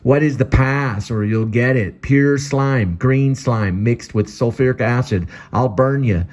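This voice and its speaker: A man's voice: medium pitch, unhurried, a little mellow, pitched above the performer's own voice, natural voice. A man voicing a menacing young woman who guards a window and threatens strangers. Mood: threatening. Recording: slightly dull lo-fi audio.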